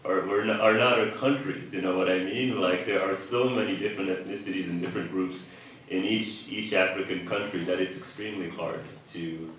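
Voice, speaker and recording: A man's voice: deep voice, steady tone, a little fast, a bit bright, a little hiss or hum. A man on a discussion panel, speaking in an earnest, reflective way. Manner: earnest, reflective, casual fillers. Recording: echoey hall from far off.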